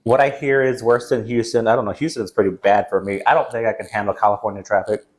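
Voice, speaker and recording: A man's voice: lowish voice, steady tone, fast talker. A man in his forties chatting casually as a movie review co-host, rambling and chatty, recorded a few feet from a camera in a small room. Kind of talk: casual film chat. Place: small indoor game room.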